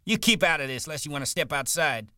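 A man voicing a small, pugnacious ranch bully with rough, combative rural American speech in a story reading. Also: mid-range voice, fairly even intonation, fast, bright, forceful tone, dry recording, clean studio sound, pitched above the performer's own voice, lightly acted. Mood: enraged. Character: small pugnacious hot-tempered young ranch bully, welterweight boxer.

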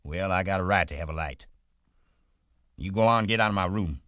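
Man doing a sharp, bitter stable hand. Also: sits low, a little fast, clear, resonant tone, no echo, clean studio sound, voice pushed up. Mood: hostile. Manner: sharp, defensive, curt. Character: proud bitter aloof male stable hand.

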